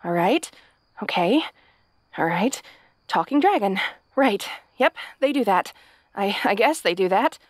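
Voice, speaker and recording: A woman's voice: medium pitch, lively intonation, unhurried, long pauses, dry recording, studio quality. A woman playing a kind, hapless young princess in a fantasy audio roleplay, talking to the listener in a nervous, stammering, chatty way. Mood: nervous, rattled. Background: night sounds with crickets.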